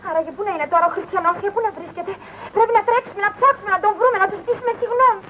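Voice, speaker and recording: A woman's voice: very high-pitched, highs cut off. An actress playing an earnest, apologetic woman in a comedy film, pleading and explaining anxiously. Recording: thin, noisy old film soundtrack.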